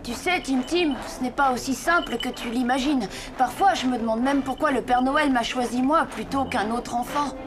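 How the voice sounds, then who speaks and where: A woman's voice: high-pitched, normal speed, hardly any pauses, close to an everyday voice. A woman voicing an earnest, self-doubting young orphan boy, a hesitant apprentice in a French cartoon dub. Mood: doubtful. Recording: music behind the voice.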